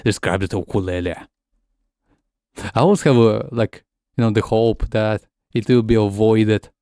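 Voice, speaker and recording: A man's voice: fairly low pitch, measured pace, dark tone, no echo, studio quality. A man whose first language is Russian, chatting casually while streaming a game, often stumbling and repeating words. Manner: switches between English and Russian. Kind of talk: casual gaming stream chat.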